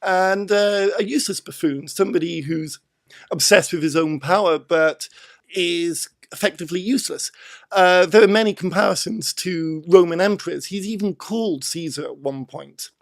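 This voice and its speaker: A man's voice: high-pitched, normal speed. A man with a British accent, talking through a film in a casual discussion, explaining and repeating words for emphasis. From Britain.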